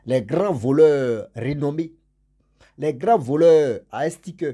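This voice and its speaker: A man with a cold tells a story in a husky, slightly hoarse voice, mellow and dark in tone. He speaks casually to his followers and repeats words for emphasis. His stressed words come out loud and his endings drop away low. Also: lively intonation, takes it slowly. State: has a cold and a cough. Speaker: storyteller for his channel's subscribers.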